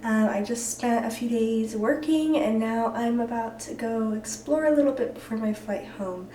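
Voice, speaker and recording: A woman's voice: on the high side, normal speed, dark tone, a little hiss or hum. A young woman with a slightly sore throat, chatting casually to a handheld camera as she vlogs her travels, with lots of ums. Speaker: travel vlogger. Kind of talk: casual vlog talk to camera. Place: in a car and outdoors by a river. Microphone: handheld camera at arm's length.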